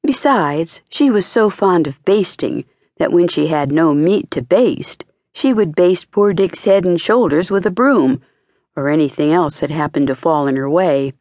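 Low-voiced woman narrating a fairy tale. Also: measured pace, dry recording, clean studio sound. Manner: expressive storytelling. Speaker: audiobook narrator.

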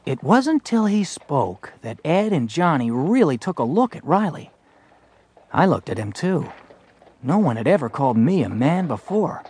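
A man's voice: a little high, very animated, moderate pace, darkish tone, breathy edge. An actor playing an earnest fourteen-year-old boy in a western, telling his story in a plainspoken, hesitant, thoughtful way. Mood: reflective. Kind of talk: first-person dramatized audiobook narration and dialogue.

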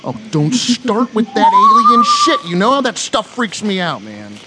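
A man playing a crude, easily spooked young stoner camper in a live horror audio drama, profane, slangy and excitable. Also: extremely high pitch, a little slow, heavily acted. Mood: nervous, irritated. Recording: clearly noisy live recording with ambience.

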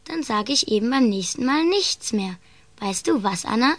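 Young brother, high voice, dry sound, childlike, matter-of-fact, children's audio drama. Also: female voice, expressive, normal speed, no echo. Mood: teasing, casual. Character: young boy, teasing, unimpressed brother. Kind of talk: children's audio play acting.

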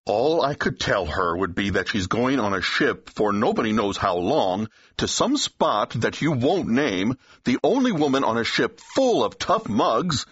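An actor playing a cautious, exasperated talent agent in an audiobook drama, with an uneasy, reasoning manner. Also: male voice, medium pitch, big pitch swings, measured pace, hardly any pauses, fairly bright tone, husky, no echo, lo-fi sound, heavily acted. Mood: protesting. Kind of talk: full-cast audiobook acting, period dialogue.

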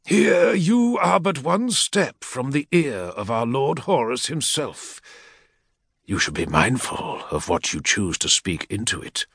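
A man's voice: medium pitch, big pitch swings, a little slow, creaky, a bit bright, slightly rough, dry recording, clean studio sound, full character voice. A man voicing a crippled, menacing male equerry who growls threats from behind a respirator. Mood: cold menace.

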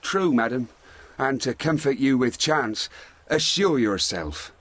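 Steady sea captain, husky voice. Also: male voice, medium pitch, slow pace, dry recording. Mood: earnest, reassuring. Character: respectful, steady sea captain who survived a shipwreck. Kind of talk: Shakespearean audio drama.

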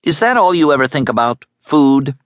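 A man's voice: fairly low pitch, sing-song, moderate pace, a bit bright, no room sound, studio quality, voice pushed up. A man voicing a streetwise young boy who lectures with brash certainty. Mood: scornful.

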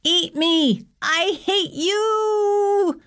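A woman's voice: medium pitch, expressive, moderate pace, a little mellow, no room sound, voice pushed up, natural voice. A woman voicing a frustrated, emotional young goddess in an audiobook, with an emphatic, accusing way of talking. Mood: screaming, furious. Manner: exasperated, emphatic.

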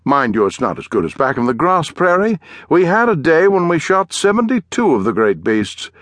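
An upper-class English gentleman adventurer, pompous and endlessly chatty. The voice sits high and leaps about in pitch, effusive and cheerful, in the manner of an audiobook character. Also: sing-song, normal speed, no echo. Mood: breezy, self-satisfied.

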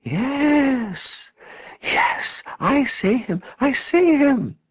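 A man voicing a short, mousy church woman, excitable and full of wonder, in an audiobook. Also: medium pitch, quick pace, creaky, slightly rough, dry recording, dull audio, pitched above the performer's own voice, full character voice. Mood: awestruck excitement. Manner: awestruck, eager, questioning. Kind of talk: audiobook character voice.

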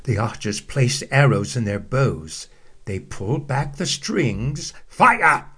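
A man narrating a children's bedtime story, slow and expressive, acting out the quotes. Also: mid-range voice, breathy edge.

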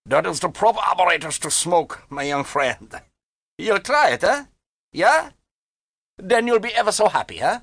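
A man voicing a sly, teasing German gentleman with a coaxing manner in an audiobook story. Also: high-pitched, very animated, normal speed, vocal fry, no echo, voice pushed up, exaggerated voice.

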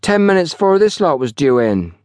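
A man's voice: high-pitched, animated, brisk, darkish tone, dry recording, pitched above the performer's own voice. A man voicing a young, self-important, sulky railway porter with a colloquial, gossipy manner in a narrated story.

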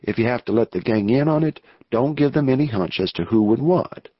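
A man's voice: mid-range voice, lively intonation, a little fast, no echo, close to an everyday voice. A man voicing a greedy, scheming male rancher who talks eagerly and pushes his plan in an audiobook. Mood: urgent plotting. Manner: eager, pressing. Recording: dull lo-fi audio.

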